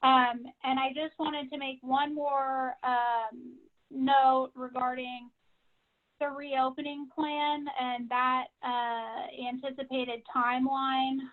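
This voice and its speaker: A woman with a high voice speaks over a thin, tinny phone connection. Her intonation stays flat and even as she gives an informational briefing on a conference call. The delivery is measured and businesslike, like a staff member updating members. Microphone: phone line. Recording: tinny, narrow-band phone line.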